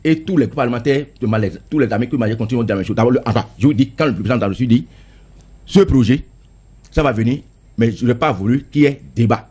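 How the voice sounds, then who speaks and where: Brief: man, MP in a heated debate, husky, rapid, insistent, repeats himself. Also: medium pitch, dark tone. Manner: insistent, repeats and stammers on words. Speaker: member of parliament.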